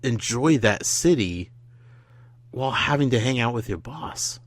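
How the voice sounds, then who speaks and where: A man co-hosting a casual podcast, playful and quick with interjections and teasing questions. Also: medium pitch, lively intonation, no echo. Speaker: podcast co-host.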